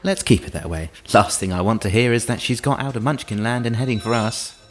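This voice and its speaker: An actor playing a curt, weary official in command, gruff and husky, in an audio drama. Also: male voice, on the low side, expressive, fairly quick, faint music behind, close to an everyday voice.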